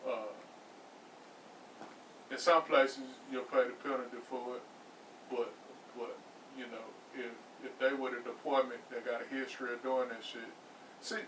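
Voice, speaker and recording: A man's voice: mid-range voice, a bit bright, recorded from a distance, slight background noise. A man co-hosting a casual podcast, reasoning aloud with stammers, restarts and profanity. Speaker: podcast co-host. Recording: echoey room, off-mic.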